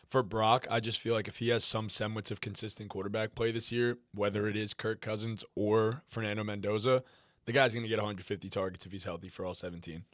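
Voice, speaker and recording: Male co-host with a low voice, loose and conversational delivery, dry recording. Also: a bit bright, no echo. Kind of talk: casual fantasy football chat.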